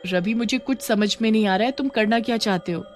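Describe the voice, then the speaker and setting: A woman's voice: fairly high pitch, fairly quick, soft background music, close to an everyday voice. A woman playing a cautious young schoolgirl in a horror story, with a questioning, fretful manner. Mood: reluctant, questioning. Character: cautious, doubtful young schoolgirl, easily frightened.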